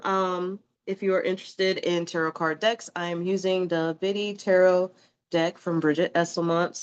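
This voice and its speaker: A woman's voice: on the low side, smooth, lo-fi sound. A woman doing a casual, rambling tarot reading in a chatty, reassuring way, a little sniffly from allergies. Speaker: tarot reader.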